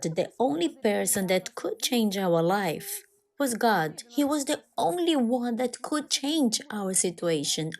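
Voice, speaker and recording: A woman voicing over a personal testimony in non-native English, speaking in short, deliberate phrases. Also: a little high, vocal fry, darkish tone. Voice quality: non-native English with halting, irregular grammar. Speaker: voice-over translator of a church testimony. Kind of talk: dubbed first-person testimony narration.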